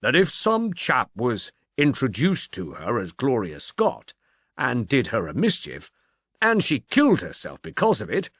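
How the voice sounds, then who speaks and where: A tentative, easily cowed policeman , acted by a male audiobook reader offers thoughts cautiously yet eagerly. The pitch moves about freely, and the sound has very little room in it. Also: fairly bright tone, slightly rough, no echo, studio quality, heavily acted. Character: hesitant, submissive policeman, eager but easily abashed.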